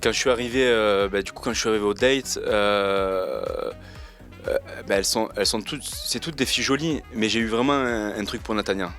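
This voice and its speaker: Twenties dude, lowish voice, chill slangy talk full of uh's, faint music behind. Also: male voice, a little fast. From Marseille, France. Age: 20s. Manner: relaxed, slangy, hesitant with many fillers. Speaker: reality TV contestant interviewed. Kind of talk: casual interview answers.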